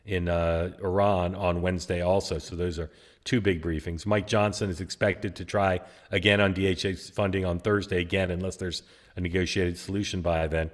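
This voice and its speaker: A man's voice: low-pitched, level tone, normal speed. A man hosting a political talk show in a casual, easygoing way, leading the talk and putting questions to his co-hosts, with frequent filler sounds. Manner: leads the discussion and poses questions to co-hosts.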